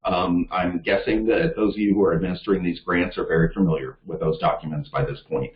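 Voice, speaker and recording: Deep-voiced man urging on a conference call. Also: fairly even intonation, fairly quick. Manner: informal, filler-heavy, earnest and urging. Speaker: staff presenter for a league of cities. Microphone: call audio. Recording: echoey, dull call audio.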